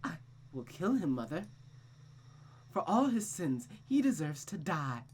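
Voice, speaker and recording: A woman's voice: sits low, big pitch swings, measured pace, a little mellow, husky, heavily acted. Woman voicing a childlike, deranged murderous girl, sing-song. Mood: pleading, vengeful. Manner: sing-song, pleading, menacing. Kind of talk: visual novel character voice acting.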